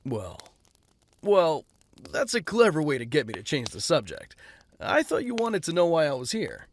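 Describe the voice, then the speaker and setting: An actor playing a flippant, self-mocking rich playboy in an audio drama. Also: male voice, a little high, huge pitch swings, normal speed, slight background noise. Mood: breezy. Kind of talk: fan audio drama acting.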